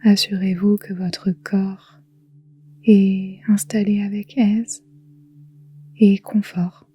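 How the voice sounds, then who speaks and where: A woman guiding a relaxation meditation in a soft, whispery, calm and soothing voice, leaving long pauses. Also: medium pitch. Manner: soft, breathy, whispery delivery. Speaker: yoga nidra guide.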